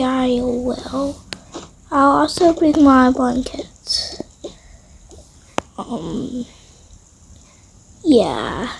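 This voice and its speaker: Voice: high-pitched, creaky, darkish tone, a little hiss or hum, low-quality recording. A player improvising a roleplay story over a game, murmuring along as if talking to themself. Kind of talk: improvised roleplay narration over a toy-house game, voicing family members.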